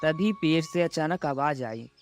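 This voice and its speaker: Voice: medium pitch, a little slow. A storyteller narrating a scripted fable for a cartoon. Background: music.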